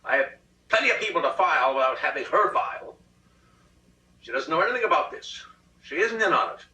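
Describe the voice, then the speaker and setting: A man's voice: fairly high pitch, animated, brisk, sharp tone, pitched above the performer's own voice. A man playing a peevish, childishly insistent husband in a comic short-story reading, pleading and exasperated with heavy emphasis. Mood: indignant. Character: peevish, childishly insistent middle-class husband plotting murder. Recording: roomy, distant rehearsal recording.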